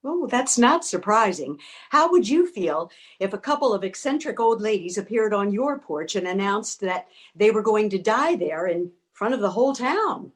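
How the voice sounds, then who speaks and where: A woman's voice: medium pitch, very animated, normal speed, hardly any pauses, lightly acted. A woman playing a determined, eccentric old lady in a comic readers theater piece, speaking in a formal, matter-of-fact, bossy way. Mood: firm. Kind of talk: comedic readers theater acting.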